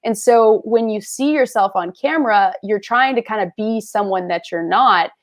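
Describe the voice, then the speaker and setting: A woman's voice: medium pitch, moderate pace. A woman talking as the guest, giving upbeat, encouraging advice straight to viewers in a casual chat. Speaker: guest creator. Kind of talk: casual advice on a video call.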